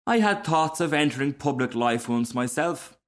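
A man's voice: on the high side, animated, normal speed, voice pushed up, lightly acted. A homely female duck from a storybook , put on by a male reader. She is peaceful and good-natured, chatting mildly and agreeably. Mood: chatty, mild. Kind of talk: storybook character reading.

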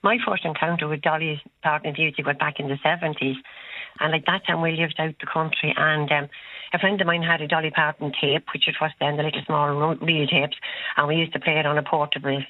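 Croaky Irish woman caller on phone. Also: low-pitched, flat delivery, fairly quick, dry recording. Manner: reminiscing, chatty. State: croaky from a head cold. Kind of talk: phone-in call. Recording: tinny phone line.

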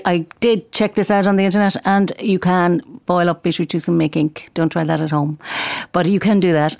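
A woman with a lowish voice talks about her book, thoughtful and earnest, pausing now and then to find her words. The sound is dry and close. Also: a little mellow, no echo. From Ireland. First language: Irish. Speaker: author. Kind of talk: explaining her book in conversation.

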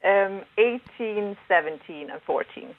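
A woman's voice: medium pitch, lively intonation, a little slow. A middle-aged woman from Denmark who grew up in Sweden, calling in to a radio show and teasing the host playfully. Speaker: caller. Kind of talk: phone-in chat. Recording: tinny phone line.

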